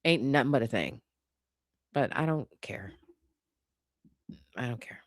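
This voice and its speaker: The sassy, opinionated voice you would hear on a casual livestream, answering the chat in a colloquial way from a dry room, at a middling pitch. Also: expressive, unhurried, no echo. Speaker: livestream host chatting with viewers. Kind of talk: casual unscripted chat, reacting to comments.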